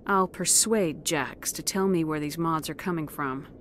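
An actress playing a calm, firm female military commander in a video game cutscene, speaking level and direct. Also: sits low, a little slow, a little hiss or hum. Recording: game audio with loud score.

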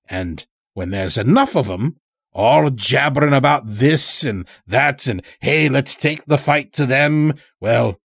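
A man performs a weary, sly old man from a dark audio story. His pitch is lifted and swings widely, and he rambles along in folksy, clipped grammar. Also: slightly rough, no echo, studio quality, exaggerated voice. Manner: folksy, rambling, dropped words.

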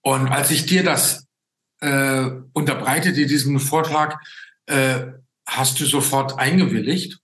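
Male host, sixties, bright forceful tone, halting conversational delivery, echoing room on a video call. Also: medium pitch, steady tone. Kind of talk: casual video-call interview.

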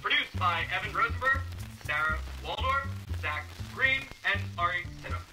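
A man's voice: high voice, lively intonation, moderate pace, pushed and bright, distant mic, soft background music, low-quality recording. A man announcing radio play credits in a showy, scripted style.

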